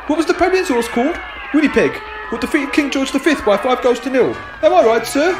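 A man's voice: very high voice, lively intonation, a little fast. A man playing a proud, polite music-hall memory performer with a declamatory, deferential delivery in an amateur radio play. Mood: proud, eager. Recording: homemade recording under loud music.

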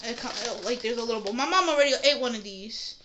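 Young female haul vlogger, bright forward voice, casual and playful, slightly noisy room recording. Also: on the high side, fast talker. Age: young adult. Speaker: vlogger showing a shopping haul. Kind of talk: casual unboxing chat. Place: small indoor room. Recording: noisy room with handling clicks.

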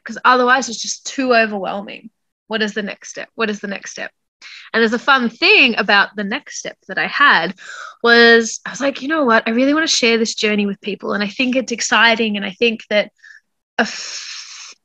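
A young woman, talking reflectively to camera in a casual vlog, with many likes and lively hand gestures. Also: mid-range voice, no echo, dull audio. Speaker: vlogger.

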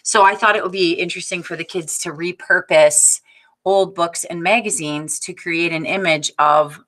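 Bright, forceful lower-pitched woman. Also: steady tone, normal speed, rough recording. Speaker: teacher sharing a picture book in a discussion group. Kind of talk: informal explanatory talk. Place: small office on a video call. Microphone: computer mic on a video call.

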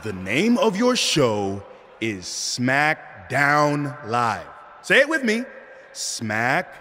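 A man playing a bombastic, goofy showman wrestler in a video game, theatrical and booming, drawing out his words. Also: wildly swooping pitch, drawn-out words, sharp tone, a little hiss or hum, full character voice. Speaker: wrestler character in a cutscene. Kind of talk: video game acting, hype promo.

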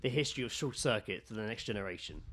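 A man co-hosting a podcast with casual comic banter, telling stories in an animated way and acting out quotes. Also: fairly low pitch, quick pace. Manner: animated storytelling, acts out quotes in raised voice. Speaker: podcast co-host.

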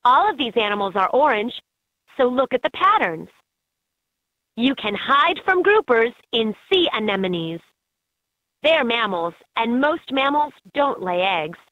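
A woman's voice: on the high side, big pitch swings, a little slow, fairly bright tone, dry recording. A woman reading scripted narration as the guide in a children's learning game, recorded as lo-fi toy audio. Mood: instructive.

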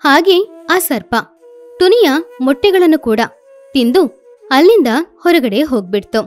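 A woman's voice: high voice, expressive, measured pace, faint music behind. A woman narrating a scripted children's story.

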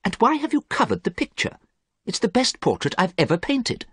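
A man voicing a gentle, worried painter with an earnest, pleading manner in an audiobook story. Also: on the high side, huge pitch swings, fairly quick, husky, no room sound, studio quality, voice pushed up, heavily acted. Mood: surprised, pleading.

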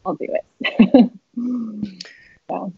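A young woman from the Bay Area, a little raspy today, chatting as an interview guest over a video call, rambling with many fillers and laughs. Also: extremely slow, no echo. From San Francisco Bay Area. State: slightly raspy voice. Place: home room with shelves, indoors. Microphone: laptop webcam video call. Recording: muffled video-call audio.

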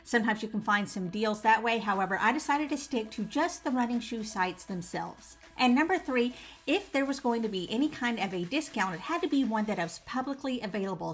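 A woman in her forties hosting a running vlog, talking to camera from her living room, her voice still rough from the flu, upbeat and stressing key words. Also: a little high. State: recovering from flu, voice a bit rough. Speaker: running channel host. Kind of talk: scripted vlog talk to camera. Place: living room with bookshelves at home.

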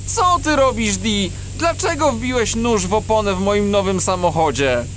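A man's voice: high-pitched, animated, normal speed, noisy background. An actor playing an enthusiastic male cat police detective in a children's audio drama. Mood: excited. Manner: exclamatory, wonder-struck.

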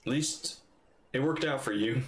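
A man in his thirties making casual, musing reactions to a game on a livestream. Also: normal speed. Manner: low-key, musing. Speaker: reaction streamer. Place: indoor livestream setup. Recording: echoey room sound. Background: faint game music.

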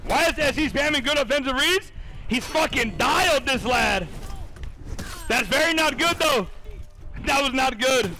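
A young man commentating a live game tournament and chatting with viewers, excitable and profane, losing his voice. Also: extremely high pitch, measured pace, long pauses. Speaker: streamer casting a tournament.

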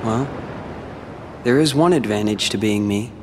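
A man with an American accent playing a brooding, world-weary teenage warrior in a video game, speaking in a measured, reflective way. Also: on the low side, noisy background, close to an everyday voice. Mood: reflective, confiding. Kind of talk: video game cutscene acting.

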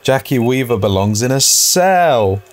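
A man's voice: mid-range voice, animated, unhurried, slight background noise. A man chatting casually as he co-hosts and reacts to a video game. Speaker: let's play co-host. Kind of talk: casual chat reacting to gameplay.

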